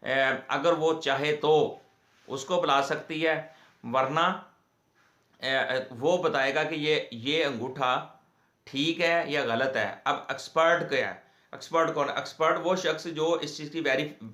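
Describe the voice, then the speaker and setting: A man with a Pakistani accent giving an informal legal lecture to viewers in a halting, repetitive way. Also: a little high, pushed and bright. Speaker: legal explainer, host of a law channel. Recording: echoey, reverberant room sound.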